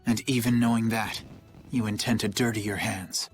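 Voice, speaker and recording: A man's voice: on the low side, steady tone, moderate pace, faint music behind, close to an everyday voice. A man playing an earnest, righteous young knight captain in a dubbed anime game, speaking formally and solemnly. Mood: grave.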